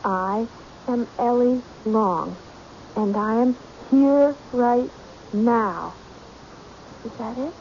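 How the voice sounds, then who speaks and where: A timid young woman with a fairly high voice, meek and hesitant. It is old radio mystery acting, muffled with a faint hiss. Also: extremely slow, long pauses, darkish tone. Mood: uneasy, obedient.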